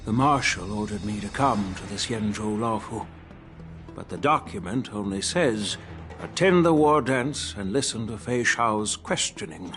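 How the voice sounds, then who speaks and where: An actor playing a shrewd old elder statesman in a video game, speaking in a measured, frank and formal way. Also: on the low side, expressive, a bit bright, faint music behind. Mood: matter-of-fact.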